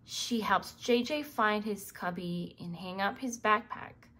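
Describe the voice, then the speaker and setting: A woman reading a children's book aloud in an expressive way, speaking directly to young listeners. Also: medium pitch, takes it slowly. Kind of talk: scripted read-aloud narration for young children.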